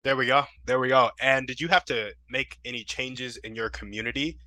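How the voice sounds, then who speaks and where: Male podcast host, bright and punchy tone, dry sound, encouraging conversational interviewer. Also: mid-range voice, steady tone, fairly quick, no echo. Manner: encouraging, affirming.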